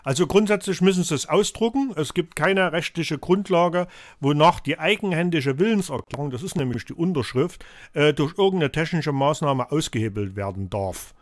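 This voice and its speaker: A man's voice: fairly high pitch, animated, normal speed, no echo. A man in his fifties with a cold, hosting a live call-in show from a home studio and lecturing in a rambling way with many fillers. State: has a cold, coughing.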